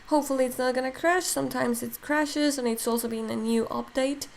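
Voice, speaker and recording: A woman's voice: high-pitched, steady tone, brisk, vocal fry, slight background noise. A woman from Mexico, a Spanish speaker talking in English, casually thinking aloud as she demos digital sculpting on a live stream. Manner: thinks aloud while working, many fillers.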